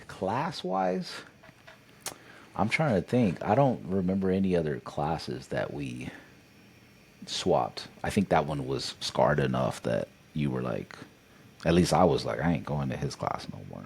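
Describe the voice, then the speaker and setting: A man's voice: extremely low pitch, unhurried, creaky, breathy edge. A young adult man co-hosting a podcast, telling stories in a casual chat and jumping into quoted voices. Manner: animated, jumps into quoted voices. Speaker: podcast co-host.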